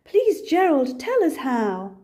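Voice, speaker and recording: An older woman voicing excitable jungle animals in a children's storybook, exclaiming emphatically. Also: sits high, slow pace, exaggerated voice. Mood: amazed.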